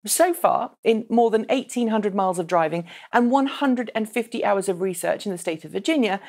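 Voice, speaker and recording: A woman in her thirties narrating a scripted news explainer in an articulate, measured presenter's delivery. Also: mid-range voice. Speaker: presenter of an online transport news show.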